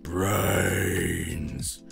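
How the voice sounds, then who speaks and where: A man's voice: deep voice, fairly even intonation, extremely slow, gravelly, over music, full character voice. A man voicing a mindless zombie butcher from a storybook, grumbling vacantly with hunger. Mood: hungry grumbling. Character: slow, mindless male zombie butcher hungry for brains.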